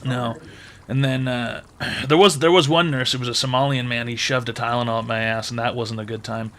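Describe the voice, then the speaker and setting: A man's voice: medium pitch, fairly even intonation, brisk, fairly bright tone. A man hosting a call-in advice show, brash and mocking with crude, profane jokes.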